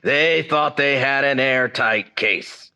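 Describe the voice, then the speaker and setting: A man's voice: mid-range voice, slow pace, gravelly, bright, forceful tone, clear, resonant tone, heavily acted. An actor playing a brusque male chief of detectives in a radio crime drama. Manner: brusque, gives orders. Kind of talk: 1940s radio drama acting.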